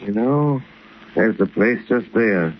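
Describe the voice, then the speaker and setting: Genteel society playboy: urbane, fairly low, muffled 1930s radio recording with some hiss. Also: male voice, animated, slow pace. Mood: urbane, relaxed. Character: suave wealthy male dilettante, secretly a crime fighter. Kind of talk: 1930s radio drama acting.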